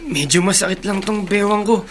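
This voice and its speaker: Apologetic dazed guy in a radio drama, bit high, subdued. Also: a little fast, a bit bright, a little hiss or hum. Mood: apologetic, reluctant. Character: dazed, apologetic, reluctant pedestrian. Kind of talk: Filipino radio drama acting.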